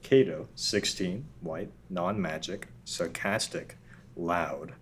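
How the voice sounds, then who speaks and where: A man's voice: low voice, takes it slowly. A man narrating a table read, reading scripted introductions and stage directions. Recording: noticeable background noise.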